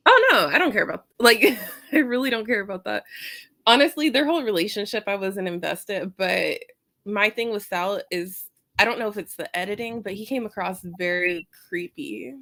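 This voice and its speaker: A woman's voice: medium pitch, moderate pace, pushed and bright. A woman with a Jamaican-British accent giving blunt opinions in casual chat, laughing as she talks.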